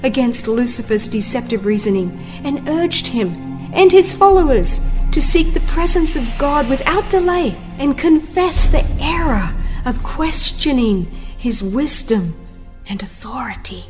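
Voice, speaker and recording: Slow, dramatic woman narrator over music. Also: sits high, lively intonation. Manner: solemn, dramatic, formal delivery with hushed phrase endings. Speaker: audiobook narrator. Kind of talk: dramatized scripted narration of religious text. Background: music under the voice.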